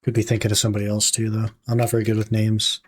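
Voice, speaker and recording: A young man with a raspy voice, casually chatting to his stream audience while he plays. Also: fairly low pitch, rapid-fire. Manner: relaxed, musing aloud while playing, talking to chat. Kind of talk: casual gaming livestream chat.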